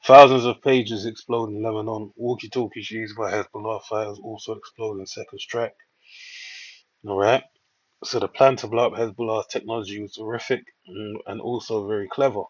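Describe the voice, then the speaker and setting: A man's voice: on the low side, steady tone, normal speed. A man giving informal news commentary, reading items aloud and reacting in a rambling way with preacher-like asides. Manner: rambling, preacher-like asides, repeatedly checks with "all right". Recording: dull lo-fi microphone.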